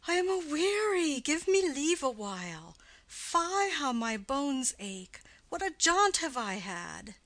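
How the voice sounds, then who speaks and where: A woman's voice: high-pitched, big pitch swings, takes it slowly, fairly bright tone, no room sound, full character voice. A woman voicing a weary, complaining, teasing old nursemaid in a classical stage play reading, with an exclaiming, grumbling manner.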